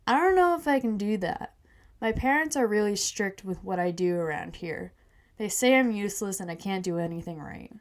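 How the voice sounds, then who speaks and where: A woman's voice: mid-range voice, expressive, normal speed, close to an everyday voice. A woman voicing an earnest, timid teenage schoolboy in a story, apologetic and full of questions.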